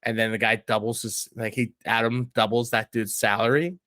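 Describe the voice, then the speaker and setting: A man's voice: mid-range voice, little pitch movement, normal speed, sharp tone, dry recording. A man chats casually as a podcast guest, blunt and slangy. He rambles through his points with plenty of filler words in a mid-range voice. The recording is close, with little room sound. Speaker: podcast guest reviewing a TV show.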